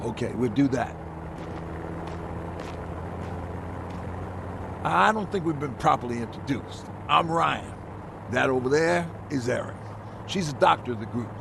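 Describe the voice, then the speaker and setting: An actor playing an anxious, earnest leader of a survivor group in a video game, chatty and urgent. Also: male voice, mid-range voice, animated, moderate pace, vocal fry, noisy background. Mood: curious, concerned. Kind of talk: cinematic video game acting.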